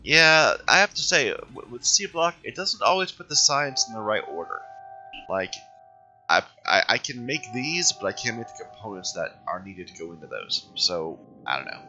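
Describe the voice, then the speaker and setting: A man with a hoarse voice from being sick, hosting a game playthrough and thinking aloud as he plays, often correcting himself. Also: medium pitch, very animated, moderate pace, sharp tone, dull audio, soft background music. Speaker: let's play host. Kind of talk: casual gameplay commentary.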